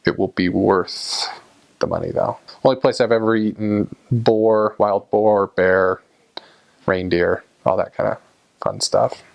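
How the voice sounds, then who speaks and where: A man's voice: fairly low pitch, steady tone, unhurried, creaky. A young man casually talking to camera about his time abroad, rambling with lots of fillers and restarts. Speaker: vlogger recounting time abroad.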